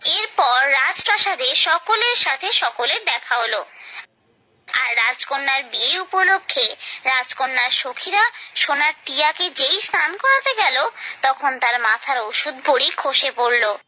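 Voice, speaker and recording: A woman's voice: high-pitched, animated, unhurried, pushed and bright, poor audio. A woman narrating a scripted children's story, expressively and directly addressing her young listeners.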